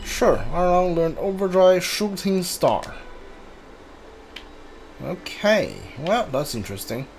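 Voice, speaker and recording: A man giving casual playthrough commentary as a game streamer, halting as he reads along. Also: high voice, lively intonation, faint music behind.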